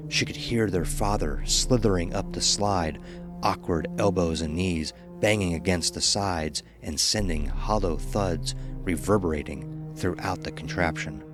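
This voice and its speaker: A man narrating a scripted horror story as a dramatic, measured storyteller. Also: low voice, music in the background.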